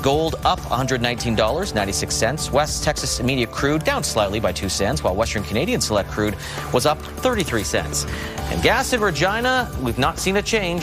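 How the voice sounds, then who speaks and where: A man's voice: medium pitch, very animated, fairly quick, over music. A man anchoring a local evening newscast, reading stories and market prices. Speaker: news anchor.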